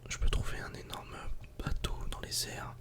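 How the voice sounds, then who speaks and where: Man, whispered ASMR game commentary, breathy, unvoiced, casual, talking straight to the viewer. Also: normal speed. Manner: casual, soft-spoken, addressing the viewer directly. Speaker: ASMR gaming video creator.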